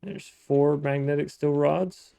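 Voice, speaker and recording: A man with a gravelly, mellow voice chats his way through a modded building game, musing aloud and correcting himself as he plays. The sound is dry and close to the mic. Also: fairly high pitch, steady tone, takes it slowly, no echo. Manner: chatty, thinking aloud, frequent restarts and self-talk. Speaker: gaming let's-play host. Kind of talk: casual gameplay commentary.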